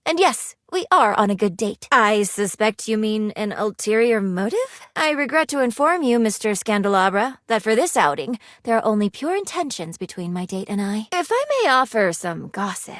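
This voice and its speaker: A woman's voice: medium pitch, very animated, moderate pace, hardly any pauses, dry recording, studio quality, close to an everyday voice. An actress voicing a prim, earnest, thrifty young woman in a dating video game, speaking in formal, precise sentences. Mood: polite, curious, then prim, advising. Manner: formal, precise wording with few contractions. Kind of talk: video game character dialogue.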